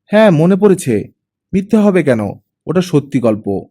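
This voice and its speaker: A composed male family friend in audiobook dialogue, played by a male narrator. He sounds patient and lightly teasing. His pitch sits high and moves in lively swings. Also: moderate pace. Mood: explaining.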